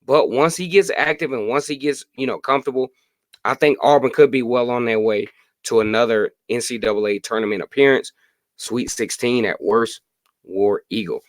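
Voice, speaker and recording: A hoarse man in his late thirties hosting a casual sports podcast live stream, chatting freely and answering viewer comments. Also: medium pitch, normal speed, no room sound. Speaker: podcast host.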